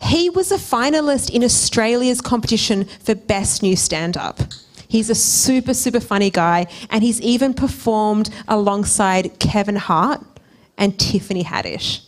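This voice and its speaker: A woman's voice: medium pitch, a little slow. A young woman hosting a live talk show interview on a stage, speaking into a handheld microphone. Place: theatre stage before a seated audience.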